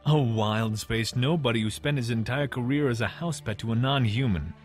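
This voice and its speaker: A man's voice: mid-range voice, moderate pace, lightly acted. Blunt space navy type played by a man: middle register, pointed and doubting, comic dub with prominent music. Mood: skeptical. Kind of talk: scripted comic dub acting. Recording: music under the dialogue.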